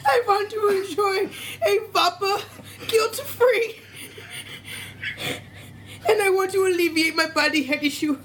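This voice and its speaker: A woman's voice: very high-pitched, slow pace, long pauses, fairly bright tone, slight background noise, full character voice. A woman voicing a chatty Indian-American woman from New Jersey, speaking in an Indian accent with broken-English phrasing. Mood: giddy. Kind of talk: improv character interview.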